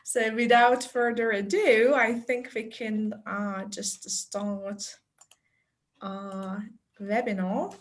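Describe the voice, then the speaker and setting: A woman presenting a teacher-training webinar over a video call, chatty and unhurried, with light laughs and fillers. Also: medium pitch. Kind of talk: friendly online teacher talk.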